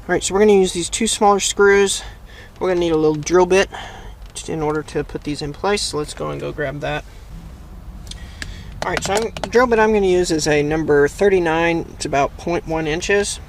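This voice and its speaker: A man's voice: on the high side, fairly quick, slight background noise. A man hosting a casual hands-on how-to video, talking through each step and thinking aloud as he works inside a vehicle. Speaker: host of a how-to video channel. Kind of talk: casual hands-on tutorial narration. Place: inside or beside a parked vehicle.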